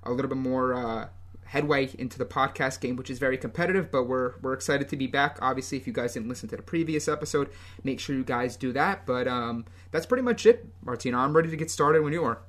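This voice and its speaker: A young man from the United States co-hosts a casual soccer podcast in a mid-range voice. He talks in a relaxed, loose way, often pausing on ums and restarting his sentences. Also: mid-range voice. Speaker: podcast co-host.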